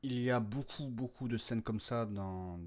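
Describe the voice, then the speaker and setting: A man's voice: mid-range voice, a little slow, a little mellow. A man, the creator and host, chatting casually and unscripted to his listeners in a hesitant, rambling way.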